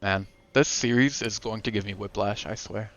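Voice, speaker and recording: A man from Israel casting a live esports match, calm and dry in his play-by-play. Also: fairly low pitch, moderate pace, highs cut off. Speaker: esports caster. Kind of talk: live game commentary.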